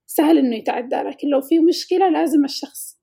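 A woman's voice: high-pitched, fast, creaky, warm tone. A woman co-hosting a casual podcast, with a childlike-sounding voice and a thoughtful, reflective way of talking. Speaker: podcast co-host.

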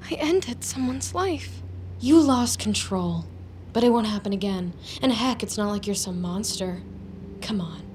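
Actress as a slow-talking, mousy, vengeful woman. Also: mid-range voice, darkish tone, slight background noise, natural voice. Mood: shaken, rationalizing. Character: mousy, self-pitying, vengeful young woman narrating her crime. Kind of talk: audio drama monologue, confessional. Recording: music under the voice. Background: music.

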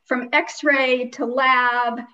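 A woman's voice: sits high, level tone, extremely slow, sharp tone. American woman, distant muffled call audio. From US Midwest. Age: middle-aged. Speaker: podcast guest, pharmacist. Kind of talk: casual personal storytelling.

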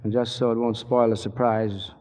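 A man's voice: medium pitch, little pitch movement, normal speed, a little mellow, lightly acted. An actor playing a terse, casually tough man lying in wait, in 1940s radio style. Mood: smooth, scheming. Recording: dull, echoey 1940s radio recording.

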